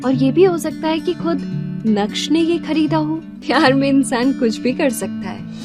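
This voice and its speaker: A woman's voice: high-pitched, measured pace, music in the background. A woman acting an admiring, gossipy office assistant in an audio drama. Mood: teasing admiration.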